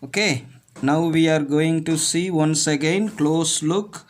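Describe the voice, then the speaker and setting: Slow, halting man. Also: on the high side. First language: Tamil. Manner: halting broken English, repeats "okay" between phrases. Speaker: presenter. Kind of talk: home remedy cooking demonstration.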